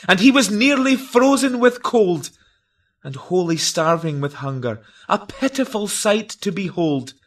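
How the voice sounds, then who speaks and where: Guy reciting an old poem super dramatically, real slow, voice swooping up and down. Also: on the high side. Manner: declamatory, melodramatic. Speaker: performer reciting a narrative poem. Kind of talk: theatrical dramatic verse recital.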